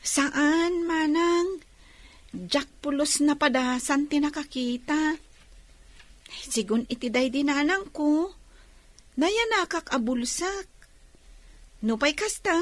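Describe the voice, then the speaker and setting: A woman's voice: high-pitched, slow pace, pauses often, no echo. A woman playing a humble, grateful young blind housemaid in an audio drama. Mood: earnest, humble.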